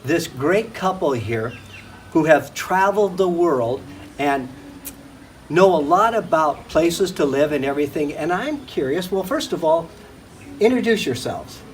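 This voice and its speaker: A man's voice: on the high side, measured pace, low-quality recording. Older male travel host, easygoing conversational delivery, faint background hiss. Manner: relaxed, chatty, frequent uh-huhs. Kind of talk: casual travel interview.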